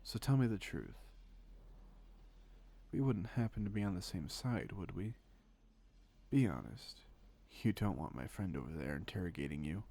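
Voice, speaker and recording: A man playing a suave, teasing secret agent in an audio roleplay, speaking with wry politeness and calm menace in a creaky voice. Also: low-pitched, heavily acted. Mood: smooth menace. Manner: polite, wry, unhurried conversational patter with pointed pauses. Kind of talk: scripted audio roleplay, intimate one-sided dialogue.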